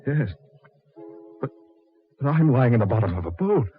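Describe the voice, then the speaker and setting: An actor playing a bewildered, frightened dead man, halting and disbelieving, in 1940s radio horror style. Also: medium pitch, very animated, breathy edge, faint music behind. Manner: halting, disbelieving, repeating questions. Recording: dull 1940s radio recording.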